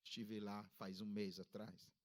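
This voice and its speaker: A man in his fifties from Ghana, preaching a sermon in a church service with a Ghanaian accent, with emphatic rises in pitch. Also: flat delivery, a little slow, a little mellow. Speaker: pastor.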